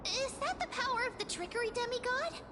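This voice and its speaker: An actress voicing a small, excitable female companion in a game, anxious and quick to react. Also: extremely high pitch, over music. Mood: wondering.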